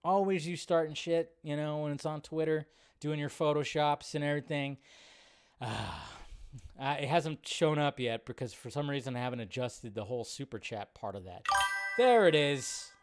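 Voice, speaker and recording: Male livestream host, slightly high clear voice, rambling and colloquial, talks straight to the viewers. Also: normal speed. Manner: rambling, colloquial, swears casually; addresses viewers directly. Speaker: live show host. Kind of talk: casual livestream chat.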